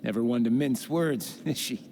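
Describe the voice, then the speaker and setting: An actor playing a hapless, lonely, self-pitying adventurer in a video game, with a folksy, rueful way of talking. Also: male voice, medium pitch, moderate pace, creaky, warm tone, slight background noise, lightly acted. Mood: dejected, self-pitying. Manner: folksy, colourful exclamations, rueful. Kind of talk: video game cutscene acting.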